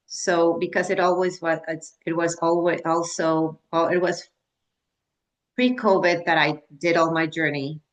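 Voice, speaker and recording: Slow, low-voiced woman coach in her 50s. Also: steady tone. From Mexico. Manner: halting, full of restarts and "like" fillers. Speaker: menopause wellness coach. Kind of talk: casual video-call conversation. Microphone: video call.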